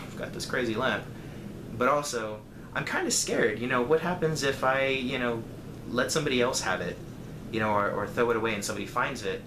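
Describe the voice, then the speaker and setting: A man with a lowish voice tells a casual story to his viewers, animated when he retells conversations. The recording is roomy and echoey, with clear background noise behind him. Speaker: storyteller vlogger.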